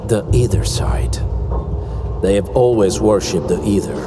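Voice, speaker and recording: A man playing a grim, pragmatic bandit-clan tracker in a video game, measured and matter-of-fact. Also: on the low side, background noise, lightly acted. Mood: serious. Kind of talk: video game cutscene acting. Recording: loud game music behind.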